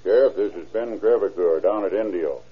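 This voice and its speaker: Low husky american lawman in an old radio show, calm and terse, muffled old recording. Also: moderate pace. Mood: grave. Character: calm, methodical small-town lawman investigating a case. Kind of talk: old-time American radio drama acting.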